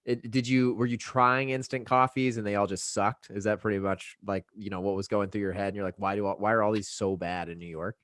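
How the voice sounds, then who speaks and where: Lower-voiced, upbeat male podcast host. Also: level tone, fairly quick, hardly any pauses, a bit bright, no room sound, clean studio sound. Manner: upbeat, casual and chatty. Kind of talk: podcast interview.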